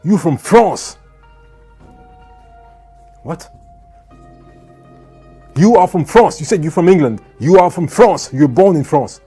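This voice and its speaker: A man's voice: fairly high pitch, animated, takes it slowly, pauses often, dark tone, husky, soft background music, voice pushed up, full character voice. A man voicing a tense female police officer who keeps pressing the same accusation as he retells an encounter. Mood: accusing. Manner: insistent, repeating accusations.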